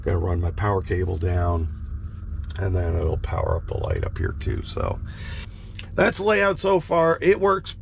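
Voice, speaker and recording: Low, gravelly male vlogger, slightly crackly from a cold, rambling and relaxed, recording in a truck sleeper bunk. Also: moderate pace. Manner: rambling, relaxed, lots of fillers and restarts. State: slightly crackly voice, recovering from illness. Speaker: model railroad vlogger. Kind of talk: casual walkthrough vlog.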